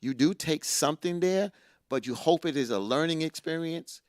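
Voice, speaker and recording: A man's voice: on the high side, animated, normal speed, no room sound, studio quality. A middle-aged man co-hosting a casual chat, telling a personal story with energy and repeating lines for emphasis.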